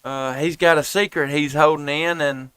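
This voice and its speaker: A laid-back man chats on an amateur radio live stream. His voice is smooth and clear with a bright edge. The sound is close and dry. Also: fairly high pitch, fairly even intonation, normal speed, no room sound. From United States. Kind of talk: casual amateur radio chat. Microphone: headset and boom microphones.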